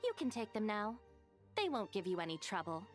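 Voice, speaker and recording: A woman's voice: medium pitch, very animated, a little fast, close to an everyday voice. A woman playing a level-headed, responsible young gang deputy in a video game, dry and matter-of-fact. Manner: matter-of-fact, exasperated, composed. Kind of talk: video game character acting. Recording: game music behind.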